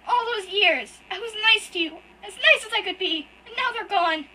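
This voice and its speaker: Sobbing, high-pitched furry boy read by a woman, loud and shrill, raw betrayal. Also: normal speed, far from the mic, heavily acted, low-quality recording. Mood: sobbing anguish. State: crying.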